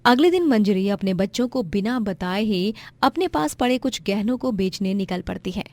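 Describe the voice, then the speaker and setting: A woman's voice: medium pitch, lively intonation, normal speed, darkish tone. A woman storyteller reading scripted narration for a moral tale.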